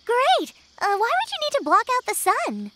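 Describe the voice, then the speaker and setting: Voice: very high voice, wildly swooping pitch, moderate pace, bright, forceful tone, exaggerated voice. A performer voicing a sweet young female cartoon fox, chirpy, casual and lightly wry. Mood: cheerful.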